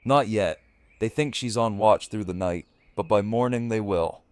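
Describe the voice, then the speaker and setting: A man's voice: fairly low pitch, moderate pace, darkish tone, clear, resonant tone, no room sound, lightly acted. A man playing a serious, weary camp leader in an audio drama. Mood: grave. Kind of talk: fan audio drama acting.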